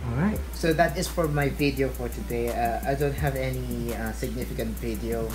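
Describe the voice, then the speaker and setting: A man's voice: medium pitch, moderate pace, over music. A young man with a Filipino accent, a native Filipino speaker, vlogging casually in a small room into a handheld phone, rambling and correcting himself with frequent chuckles. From Philippines. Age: young adult. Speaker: tech vlogger. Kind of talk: casual vlog. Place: small home room indoors. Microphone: phone camera held at arm's length.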